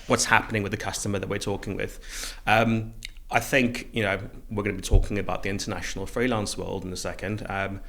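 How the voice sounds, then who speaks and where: A man's voice: lowish voice, flat delivery, a little fast, fairly bright tone. A middle-aged man from South Africa speaking conversationally as the guest in a podcast interview. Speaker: interview guest, recruitment business co-founder.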